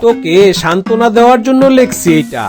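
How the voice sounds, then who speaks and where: A man's voice: very high voice, animated, brisk, over music. A man voicing a carefree, teasing young slacker brother in a cartoon comedy, smug and mock-consoling, with a Bangladeshi accent.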